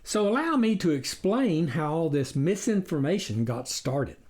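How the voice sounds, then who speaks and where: A sly storybook wolf played by a narrator posing as a reformed soul. The delivery is persuasive and indignant, with a preachy streak. The pitch sits in a middle register and swings widely. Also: sing-song, measured pace, darkish tone. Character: sly, smooth-talking wolf-like stranger posing as vegan.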